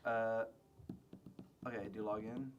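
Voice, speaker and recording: A man's voice: lowish voice, flat delivery, slow pace, lo-fi sound. A man chatting casually on a livestream in a laid-back way. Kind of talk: casual chat.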